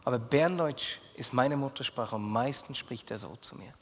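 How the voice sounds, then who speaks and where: A man with a Swiss German accent preaching a sermon as pastor, speaking earnestly and directly to his listeners, dropping low and quiet as he prays. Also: moderate pace. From Switzerland. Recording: long hall reverb.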